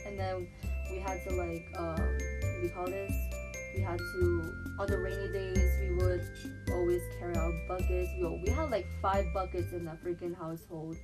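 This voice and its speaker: A woman's voice: on the low side, little pitch movement, normal speed, loud music behind the voice. A young woman from the Philippines, chatting casually as a podcast co-host and telling stories with slang and lots of fillers. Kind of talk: casual chat.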